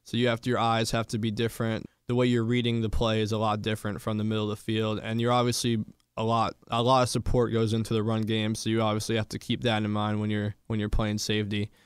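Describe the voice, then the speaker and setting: Young man, college athlete guest. Flat intonation, smooth voice, casual answers. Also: on the low side, fast, no room sound, studio quality. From Florida, United States. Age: young adult. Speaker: college football player guest. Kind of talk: casual sports interview answers.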